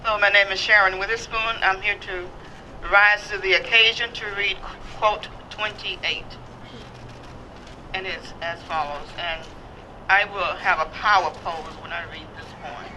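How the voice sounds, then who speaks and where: A woman's voice: mid-range voice, steady tone, a little slow, sharp tone, low-quality recording. A woman reading a quotation aloud as a community reader at an event. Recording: faint noise and crowd behind.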